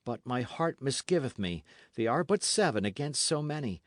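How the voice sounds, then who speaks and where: A man voicing a rough, commanding young squire who speaks forcefully in old-fashioned words. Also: mid-range voice, big pitch swings, normal speed, no room sound, studio quality, close to an everyday voice. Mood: urgent whisper.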